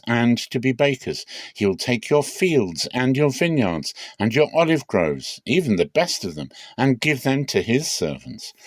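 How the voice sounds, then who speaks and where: A man's voice: medium pitch, no room sound, pitched above the performer's own voice. Male reader as old prophet, stern, solemn, emphatic warnings, dry sound, dramatic scripture reading.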